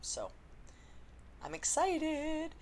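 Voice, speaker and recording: A woman, an indie romance author, chatting unscripted to camera with a slightly husky voice and lots of ums. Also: high voice, wildly swooping pitch, extremely slow, darkish tone. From Canada. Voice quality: slightly husky after illness. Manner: chatty, rambling, frequent ums. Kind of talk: unscripted vlog chat.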